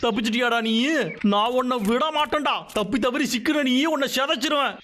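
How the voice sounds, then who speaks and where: A man's voice: extremely high pitch, a little fast, slight background noise. A man dubbing a greedy, scheming logger villain in a cartoon, gloating and snarling threats. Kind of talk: Tamil cartoon dub. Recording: dubbed over loud music.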